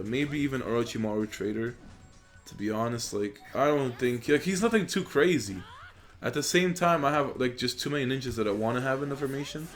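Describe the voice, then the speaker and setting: Young man rambling over gameplay. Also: mid-range voice. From Poland. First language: Polish. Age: young adult. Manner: rambling, filler-heavy, relaxed. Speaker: gaming YouTuber. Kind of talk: casual gameplay commentary. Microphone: USB desk microphone.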